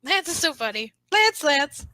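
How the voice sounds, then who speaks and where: A woman voicing an admiring, giggly little child with a childish, drawn-out delivery in an amateur fan fiction reading. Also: sits high, sing-song, sharp tone, no echo, pitched above the performer's own voice, full character voice. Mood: gleeful teasing.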